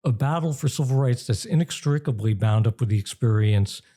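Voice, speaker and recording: Very dark-voiced, deliberate older American man. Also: medium pitch. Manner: deliberate, emphatic, pausing mid-phrase. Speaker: interview guest, journalism professor and author. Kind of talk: explanatory interview talk.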